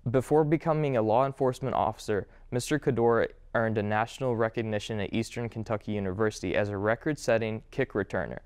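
Smooth-voiced young man hosting. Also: fairly low pitch, fast, few pauses, darkish tone. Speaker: student host. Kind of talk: scripted video hosting. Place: studio with backdrop, indoors. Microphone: lapel mic.

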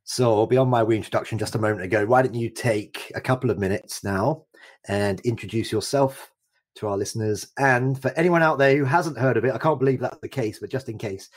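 A man with a low voice hosting a podcast interview. He is effusive, heaping compliments on his guest. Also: slightly rough. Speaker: podcast host.

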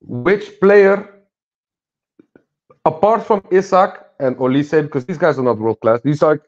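A man's voice: on the high side, measured pace, muffled recording. A man on a video call chatting casually about football, laid-back and often tagging "you know what I'm saying". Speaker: podcast panelist.

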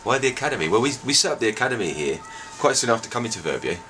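A man's voice: mid-range voice, sing-song, very fast, bright, forceful tone, a little hiss or hum. A man in his forties, a ski school owner, talking casually outside a chalet in an interview, with lots of fillers and restarts. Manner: chatty, with frequent fillers and restarts. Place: outdoors by a wooden chalet in snow.

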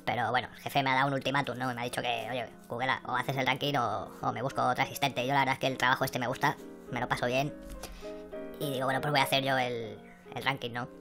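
A man voicing a hoarse, flustered female assistant, who rambles and then reads out rankings. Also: medium pitch, level tone, fast talker, music in the background, natural voice. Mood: apologetic. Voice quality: hoarse, croaky.

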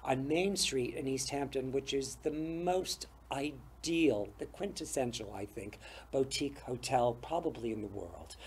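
A man's voice: mid-range voice, a little slow, a little hiss or hum. An older man hosting a studio interview show, chatty and easygoing, laughing often and tripping over his words. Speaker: talk show host. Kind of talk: casual interview chat. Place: small TV studio set with bookshelves.

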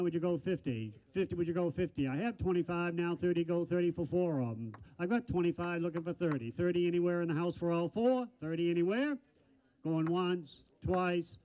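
Older man, auctioneer, high voice, rapid bid chant, folksy asides, handheld mic, dull lo-fi sound. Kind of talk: auction calling. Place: large indoor auction hall.

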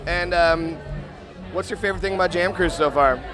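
A man's voice: high voice, fairly quick. A man in his thirties doing casual, teasing on-the-spot interviews into a handheld microphone. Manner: joking, teasing. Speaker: roving interviewer and musician. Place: busy open deck bars on a cruise ship. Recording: loud music and crowd behind voice.

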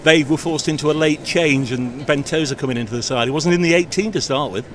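Brisk male club interviewer, quick-fire conversational questions, outdoors pitchside, faint traffic behind. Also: fairly bright tone. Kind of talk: post-match interview questions.

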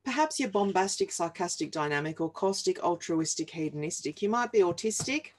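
A woman in her forties with an Australian accent reads comic rhyming verse aloud in a deliberate, wry and playful manner. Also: fairly low pitch, expressive, a little mellow. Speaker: reader of a humorous picture book. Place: small home office, indoors.

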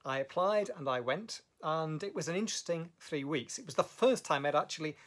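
A man's voice: fairly high pitch, animated. Thoughtful man telling his story at home. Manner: thoughtful, measured, with frequent fillers and self-corrections. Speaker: language learning vlogger. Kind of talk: reflective personal storytelling to camera. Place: home study with bookshelves, indoors. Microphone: camera at desk distance.

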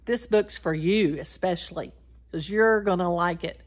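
A woman in her sixties hosting a story time, reading aloud and talking directly to young children in an animated way. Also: fairly low pitch. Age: 60s. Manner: animated, addressing children directly, drawn-out words and animal sounds. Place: small wood-paneled room indoors.

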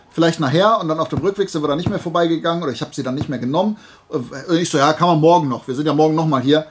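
Slightly husky, higher-voiced man chatting casually. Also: a bit bright. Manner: rambling, hesitant, self-correcting. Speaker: YouTube collector showing flea market finds. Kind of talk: casual haul vlog chat.

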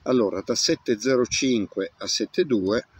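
A man's voice: mid-range voice, a little slow. A man in his fifties talking through a casual hands-on tutorial, half to himself, outdoors on a camera microphone. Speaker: amateur radio hobbyist demonstrating antenna tuning. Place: open grassy field outdoors. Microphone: camera mic, varying distance. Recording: noisy outdoor recording with clicks.

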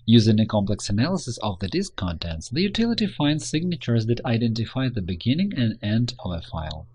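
Husky man narrating a tutorial. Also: mid-range voice, very animated, fairly quick, darkish tone. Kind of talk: scripted instructional narration.

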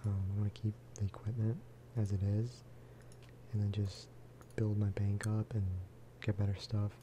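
A man speaking softly in a low-key, rambling ASMR style as he chats over gameplay. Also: flat delivery, moderate pace, background noise. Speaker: gaming video creator. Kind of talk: soft-spoken ASMR gameplay chat.

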